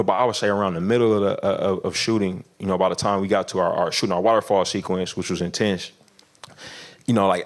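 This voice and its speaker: A man with a fairly low voice talks through his answers in a relaxed interview. He stumbles and restarts often, fills gaps with "you know", and breaks into laughs along the way. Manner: halting, many restarts and fillers, laughs often. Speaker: film director guest. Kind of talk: casual interview conversation.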